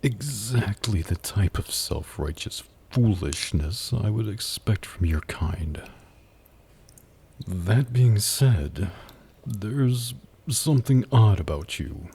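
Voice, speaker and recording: A man acting out a smug, flirty male demon who taunts a captive in a husky, teasing, menacing drawl for an ASMR roleplay. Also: fairly low pitch, expressive, a little mellow, heavily acted. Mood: intrigued, condescending. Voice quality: husky, breathy. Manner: slow, teasing, menacing drawl with dramatic pauses.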